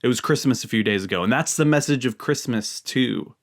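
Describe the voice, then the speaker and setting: Man, American accent, mid-pitched voice, earnest, emphatic, vlog commentary. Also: expressive, fairly quick. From United States. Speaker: video host, religious commentator. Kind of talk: earnest talk to camera.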